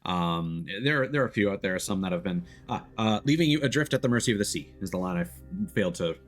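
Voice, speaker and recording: A man's voice: sits low, animated, quick pace, soft background music. A man giving casual live gameplay commentary as a streamer, rambling and restarting his sentences mid-thought.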